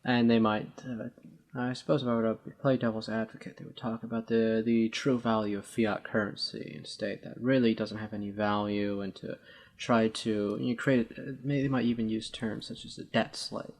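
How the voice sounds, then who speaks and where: A man co-hosting a talk show, debating casually in a halting, wordy way with formal turns of phrase. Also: on the low side, little pitch movement.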